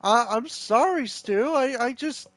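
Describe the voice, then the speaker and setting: A woman's voice: sits high, unhurried, no room sound. A woman putting on a clueless dad looking for his daughter, goofy and puzzled.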